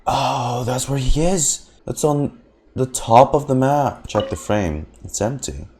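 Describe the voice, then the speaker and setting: A man's voice: medium pitch, takes it slowly, a little mellow. A man streaming a video game, giving casual play-by-play reactions and reading on-screen text aloud.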